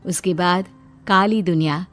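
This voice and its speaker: A woman's voice: on the low side, unhurried. A woman giving scripted narration of a children's cartoon story.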